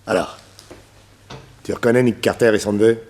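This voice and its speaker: An actor playing a sadistic, vengeful gang boss who sneers with mock politeness in a detective radio serial. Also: male voice, lowish voice, steady tone, normal speed, darkish tone, close to an everyday voice. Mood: menacing.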